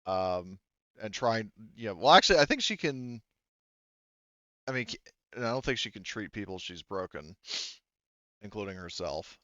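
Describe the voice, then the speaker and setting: A man's voice: lowish voice, animated, normal speed, pauses often, pushed and bright, dry recording, muffled recording. A man running a tabletop game as game master, narrating casually and thinking aloud in a hesitant way.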